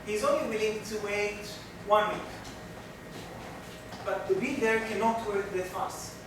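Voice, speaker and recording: A man's voice: sits high, unhurried. A man from Israel with an Israeli accent, giving a workshop lecture in English, speaking carefully and restarting phrases often. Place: large lecture room. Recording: very echoey room, distant mic, noisy.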